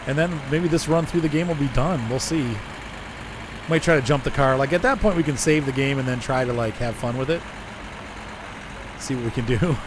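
A hoarse man chatting casually as he streams a driving game, rambling and answering his chat. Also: medium pitch, fairly quick. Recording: noisy game sound behind.

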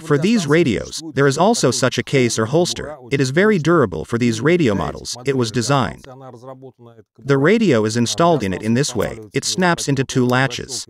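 A man's voice: mid-range voice, big pitch swings, normal speed. Methodical Russian-accented man explaining gear. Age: middle-aged. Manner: methodical, matter-of-fact. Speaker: presenter of a radio equipment review channel. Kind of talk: scripted technical explanation. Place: small dim room with computer desk.

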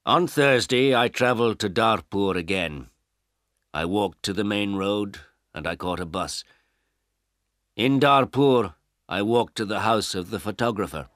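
A man reading a simple story for language learners as a narrator, in deliberate, clearly separated short phrases. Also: on the low side, fairly bright tone, no room sound, studio quality. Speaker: audiobook narrator.

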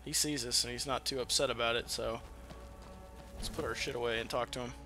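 A man's voice: medium pitch, fairly even intonation, a little fast, sharp tone, soft background music. A man giving casual, rambling let's play commentary, with some swearing. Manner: rambling, profane. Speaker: gaming video host.

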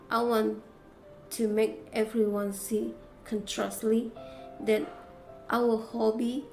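A woman in her thirties with an Indonesian accent gives a prepared speech to camera as a content creator, in deliberate, halting phrases. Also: on the high side, darkish tone, poor audio. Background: faint music.

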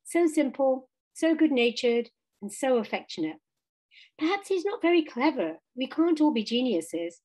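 A woman's voice: high voice, sing-song, normal speed, a little mellow, voice pushed up, rough recording. A woman voicing a good-natured, cheerful male water rat in a storybook, chatty and emphatic.

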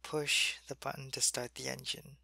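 A man playing a sick, weak male alien soldier in an ASMR roleplay. He speaks softly into a close mic and often drops to a whisper. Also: mid-range voice, flat delivery, normal speed, gravelly, no echo, full character voice. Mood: weary, gentle. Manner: soft-spoken, drifting into whispers.

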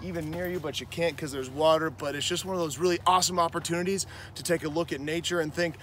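Outdoor vlogger, thirties man, enthusiastic and chatty, camera mic with light background hiss. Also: fairly high pitch. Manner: enthusiastic, chatty, pointing out plants. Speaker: gardening vlogger. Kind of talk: walking nature vlog. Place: outdoor trail in a nature preserve. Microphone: handheld camera framing, on-camera mic.

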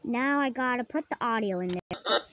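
A tutorial host casually explaining software steps in a halting way, often repeating and rephrasing. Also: mid-range voice. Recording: dull lo-fi microphone, clearly noisy.

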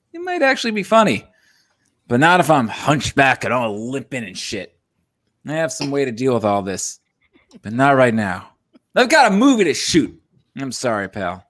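A young man plays a roguish, defensive smuggler in a comic script reading. He is self-important and theatrical, with slow delivery and wildly varied pitch, close on a desk mic. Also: a bit bright. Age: young adult. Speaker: actor in live script table read. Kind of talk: comic table-read acting. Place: home room, video call. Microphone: desk microphone, close.

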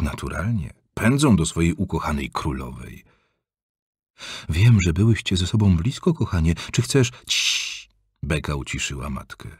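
A man reading an audiobook aloud in an expressive, varied storytelling manner, close to a studio mic. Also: sits low, slightly rough, dry recording. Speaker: audiobook narrator. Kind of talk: scripted audiobook narration.

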